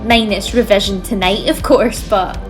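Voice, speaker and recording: A woman's voice: mid-range voice, fairly even intonation, moderate pace, close to an everyday voice. A young woman playing a defensive, teasing nursing student in casual audio drama banter. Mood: indignant, teasing. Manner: indignant, teasing. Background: music.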